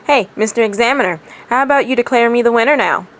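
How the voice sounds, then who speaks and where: A woman's voice: on the high side, fast, voice pushed up, lightly acted, rough recording. A woman voicing a smug, cheeky teenage ninja boy in a story reading, with a breezy manner. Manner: smug, sing-song, breezy.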